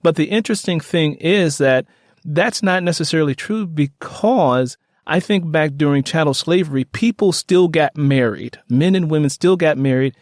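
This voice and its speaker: A man's voice: on the high side, normal speed, a little mellow, dry recording. Earnest American man hosting, close dry sound. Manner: earnest, discursive, with frequent "you know". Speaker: podcast host, interviewer. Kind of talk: reflective interview talk.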